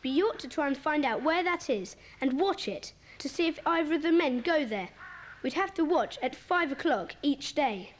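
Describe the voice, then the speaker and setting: A woman's voice: high-pitched, animated, moderate pace. A woman voicing an earnest, take-charge schoolboy who leads a children's club in an audio drama. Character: sensible bossy schoolboy, leader of a children's club.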